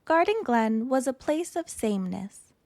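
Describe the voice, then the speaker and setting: A woman reading a children's picture book aloud in an expressive, deliberate storytelling manner. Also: high voice, dry recording. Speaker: storytime reader.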